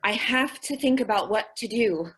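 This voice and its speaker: A woman's voice: a little high, normal speed, fairly bright tone, breathy edge. A woman acting a sweet, dim-witted, earnest young secretary in an old-time radio comedy. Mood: earnest, naive. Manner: naive, literal-minded, earnest.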